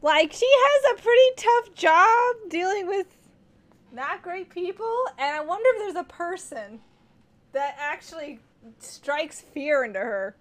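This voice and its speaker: A woman game streamer reacting casually to gameplay in an excitable, giggly way. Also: extremely high pitch, measured pace.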